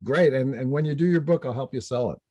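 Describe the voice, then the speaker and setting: A man's voice: medium pitch, quick pace, dark tone, no echo. An older man chatting casually as an interview guest, emphatic and opinionated in the way he talks. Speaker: podcast guest, astrologer and author.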